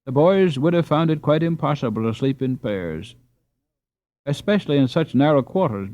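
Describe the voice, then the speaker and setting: A man's voice: mid-range voice, dry recording. A man reading a children's novel aloud as a scripted audiobook narration. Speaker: audiobook reader. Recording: slightly dull, dry recording.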